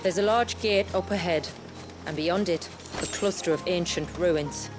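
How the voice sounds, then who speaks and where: An actress playing a grave village guide who keeps her people's history, speaking in a measured, solemn storytelling way in a fantasy game. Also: on the low side, close to an everyday voice. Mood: guarded, purposeful. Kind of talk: dramatic fantasy game dialogue. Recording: music under the voice.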